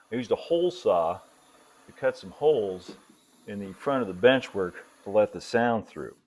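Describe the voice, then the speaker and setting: Low-pitched middle-aged man, hobby host. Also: a little mellow. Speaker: host of a model railway channel. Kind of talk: casual hands-on workshop tutorial. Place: indoor hobby workshop.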